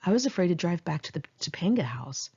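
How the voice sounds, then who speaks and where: An American woman with a lowish, rough-edged voice narrates her own story. Her delivery is candid and dramatic, swinging freely in pitch like someone telling friends a tale. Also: sing-song, fast, mellow, highs cut off. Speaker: author reading own memoir. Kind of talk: first-person audiobook narration.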